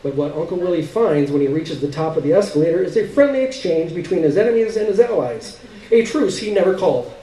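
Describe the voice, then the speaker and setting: A man giving an expressive live reading of a literary story, with dramatic, halting pauses. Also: a little high. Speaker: story reader. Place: live stage reading indoors. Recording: roomy, distant stage recording.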